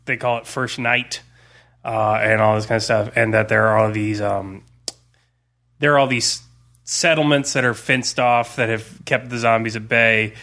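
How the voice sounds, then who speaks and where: A man's voice: lowish voice, fairly even intonation, moderate pace, pushed and bright. A man co-hosting a podcast, talking in a casual, conversational way. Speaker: podcast co-host; school teacher. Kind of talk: casual chat, recommending books.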